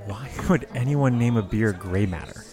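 A man playing a guarded, quietly curious drifter, terse and dry, in a scripted audio drama. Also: lowish voice, normal speed, a crowd in the background, lightly acted.

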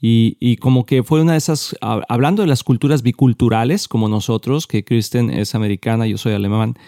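Playful Mexican man, mellow dry voice. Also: medium pitch, fairly even intonation, a little fast, no room sound. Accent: Mexican Spanish. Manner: playful, laughs often, restarts mid-phrase. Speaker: co-host.

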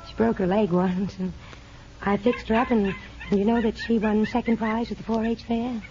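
A woman's voice: a little high, little pitch movement, moderate pace, mellow, lightly acted. A woman playing a modest, capable farm woman in a 1950s radio drama, hesitant and soothing, with an American accent. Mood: nervous, soothing. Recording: muffled, noisy old radio recording.

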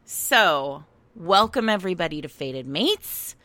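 An American woman co-hosting a podcast in casual chat, telling stories in an animated, digressive way. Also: on the low side, slow, no room sound. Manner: animated, digressive storytelling with frequent laughter. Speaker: podcast co-host.

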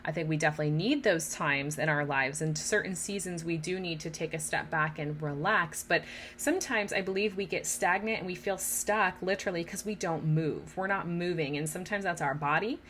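A woman's voice: on the low side, a bit bright, a little hiss or hum. A woman in her thirties hosting a casual podcast, talking straight to listening mothers in an encouraging way. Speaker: podcast host.

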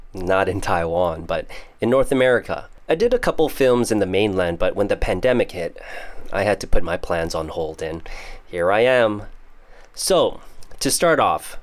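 A young man with a Canadian accent hosting a podcast, casually explaining English idioms with jokes. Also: lowish voice. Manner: casual, joking, explains terms. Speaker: podcast host. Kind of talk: scripted podcast monologue teaching English idioms.

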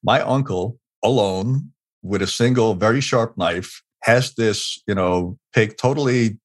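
A middle-aged man from Hungary speaks in a fairly low voice. He is a guest telling a story in a relaxed interview, full of "you know" and small restarts. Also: unhurried. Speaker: guest, leadership expert.

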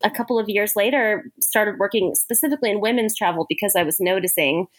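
A woman's voice: mid-range voice, fast. An American woman talking casually as an interview guest, chatty and often laughing as she speaks. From Hawaii, United States. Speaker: interview guest, author and women's travel entrepreneur.

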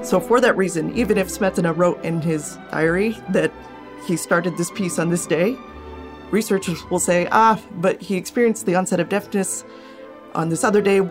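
A woman's voice: lowish voice, moderate pace, faint music behind. A woman speaking as an interviewed scholar, explaining things casually and thinking aloud as she goes. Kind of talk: interview answers.

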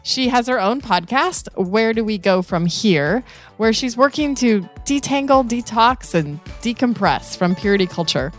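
A somewhat high-pitched woman hosts a podcast with an upbeat, playful delivery. She moves from a scripted opening into easy conversation with her guest. Soft music sits faintly underneath. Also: lively intonation, unhurried. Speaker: podcast host. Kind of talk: scripted podcast intro, then conversational interviewing.

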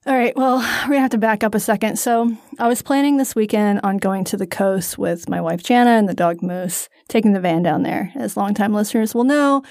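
A woman in her thirties talks loosely on her podcast. She is candid and self-deprecating and wanders into asides. She sounds close and dry on the mic, at a middle pitch. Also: a little fast, hardly any pauses, a little mellow, dry recording. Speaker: podcast co-host. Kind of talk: casual chat.

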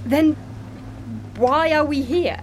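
A woman's voice: sits high, takes it slowly, slight background noise. A woman playing an incredulous, blunt and questioning agency operative in an audio drama. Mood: demanding, alarmed.

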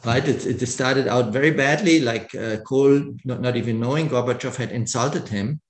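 A man's voice: mid-range voice, moderate pace, reverberant. A middle-aged man from Germany, an author being interviewed, talking thoughtfully with many fillers and restarts. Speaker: author, guest. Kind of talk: conversational interview.

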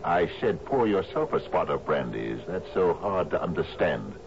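An actor playing an aging, gruff sea captain in a 1970s radio drama, commanding yet lonely, halting in his speech. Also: low voice, sing-song. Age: older. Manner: gruff, halting, swings from muttering to shouting. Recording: dull, noisy 1970s radio broadcast.

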